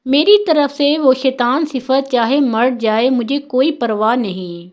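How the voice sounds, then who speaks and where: A woman's voice: high-pitched, natural voice. A woman voicing a proud, indignant mistress of a household in a told tale, scornful and protesting.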